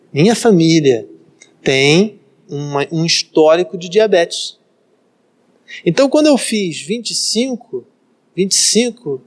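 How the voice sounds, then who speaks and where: A Brazilian man in his thirties, a pastor giving a practical sermon and repeating phrases for emphasis. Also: sits high. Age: 30s. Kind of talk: sermon, practical life advice.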